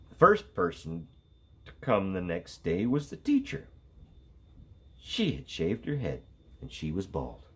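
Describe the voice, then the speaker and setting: Guy reading a kids book out loud, super swoopy and dramatic, kinda husky. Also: low-pitched, wildly swooping pitch, measured pace, long pauses. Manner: theatrical, dramatic emphasis. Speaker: storyteller. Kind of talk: animated children's read-aloud.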